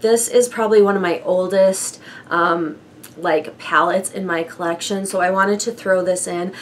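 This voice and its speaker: A young woman with a froggy, hoarse voice from a chest cold, chatting casually through a beauty product update with light laughs and fillers. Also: lowish voice, fairly even intonation, moderate pace, slight background noise. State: hoarse from bronchitis. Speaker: beauty vlogger.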